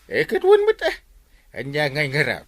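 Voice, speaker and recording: A man with an Ilocano accent playing an excitable, scolding village captain in a comedy radio drama. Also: fairly high pitch, normal speed. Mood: stern, forgiving.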